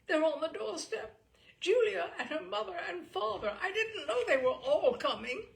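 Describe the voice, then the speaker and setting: Lady doing a flustered young chap, aggrieved, high pitched and blurting, comic storybook. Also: normal speed, creaky, heavily acted. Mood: panicked. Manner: excitable, blurting. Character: flustered, aggrieved, tipsy-sounding young English suitor, male.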